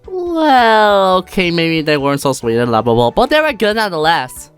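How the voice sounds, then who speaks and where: An animated storyteller reads a children's book aloud with theatrical flair. The pitch sits in the middle and moves about freely, with light music underneath. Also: normal speed, smooth. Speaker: narrator. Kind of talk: storybook narration read aloud for a fan dub. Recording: music behind the voice.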